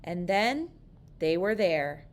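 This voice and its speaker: A woman reading a children's picture book aloud, slow, careful and expressive. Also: fairly low pitch. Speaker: storyteller.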